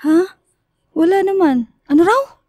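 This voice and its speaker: A woman's voice: very high voice, expressive, measured pace, a little mellow, no echo, clean studio sound. A young woman playing a skeptical, easily spooked student in a Filipino radio drama, teasing and emphatic. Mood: annoyed, scared.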